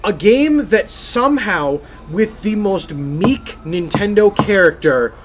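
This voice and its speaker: A man's voice: high voice, lively intonation, takes it slowly, over music. A man co-hosting a video game podcast in casual chat, speaking emphatically and effusively and repeating phrases for stress. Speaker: podcast co-host.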